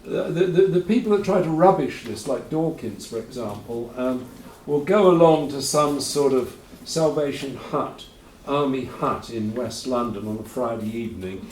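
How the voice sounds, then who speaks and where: A man's voice: mid-range voice, lively intonation, normal speed, few pauses, darkish tone, slight background noise. Man, conference host, rambling and chatty, dry asides, roomy hall echo, heard from a distance, faint ambience. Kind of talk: informal conference introduction. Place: lecture hall.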